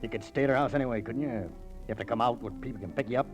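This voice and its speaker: An actor with an American accent playing an irritable, hard-boiled crooked roadhouse owner in 1940s radio drama, brusque and slangy. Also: male voice, mid-range voice, rapid-fire, lightly acted. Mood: irritated. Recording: old radio disc transfer with music. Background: music.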